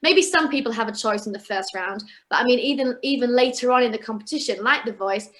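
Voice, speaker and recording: A young woman chats as a guest in a relaxed interview. She talks in a fairly high voice and keeps restarting her sentences as her thoughts run ahead. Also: fast. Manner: chatty, with frequent restarts and fillers. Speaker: guest singer and actress. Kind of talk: casual interview chat.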